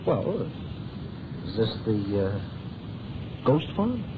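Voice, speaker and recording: A man's voice: on the low side, sing-song, drawn-out words, exaggerated voice. An actor playing a nervous, wisecracking American newsreel cameraman, hesitant and slangy, in vintage radio drama style. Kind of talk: 1930s-40s radio acting. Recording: muffled, noisy old radio recording.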